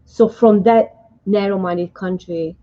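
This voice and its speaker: A woman's voice: medium pitch, takes it slowly. A woman from Hungary with a Hungarian accent, a guest author chatting on a radio show and telling stories. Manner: animated storyteller, acts out quotes. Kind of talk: casual chat. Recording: dull lo-fi radio audio.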